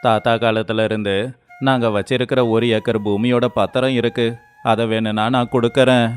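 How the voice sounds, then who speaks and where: A man's voice: mid-range voice, flat delivery, a little fast, clean tone, faint music behind, natural voice. A man playing a poor, humble village labourer and devoted husband in a moral story, with a pleading, deferential manner. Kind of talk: dramatized moral story voice acting.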